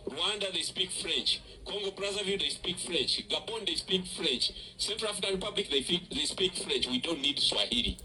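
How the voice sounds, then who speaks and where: A man's voice: sits high, pushed and bright, poor audio. A man giving a campaign speech in short declarative lines, listing points one after another, in a clip played from an archive. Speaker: political candidate.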